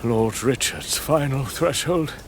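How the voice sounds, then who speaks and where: An actor playing a proud, tormented, weary lord in a gothic audio drama, speaking in halting, archaic, theatrical phrases. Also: male voice, mid-range voice, lively intonation, a little hiss or hum. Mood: brooding, morbid wonder.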